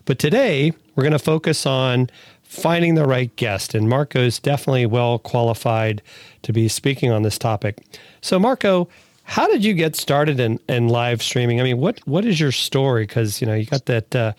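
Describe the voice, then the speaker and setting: A man's voice: medium pitch, no room sound. A man co-hosting a podcast interview, enthusiastic, with frequent restarts. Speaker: podcast co-host. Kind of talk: conversational interview.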